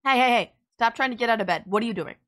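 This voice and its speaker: A woman's voice: fairly high pitch, rapid-fire, fairly bright tone, close to an everyday voice. A woman playing a sardonic yet caring female villain in an audio roleplay, blunt, teasing and exasperated. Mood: exasperated, bossy. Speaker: voice actor. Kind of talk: audio roleplay drama, intimate one-sided dialogue.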